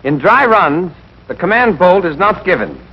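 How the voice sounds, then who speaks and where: A man's voice: high voice, very animated, moderate pace, husky. A man with an American accent narrates a military training film as an instructor, speaking directly to trainees in a scripted, instructive way. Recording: dull, band-limited old training film soundtrack.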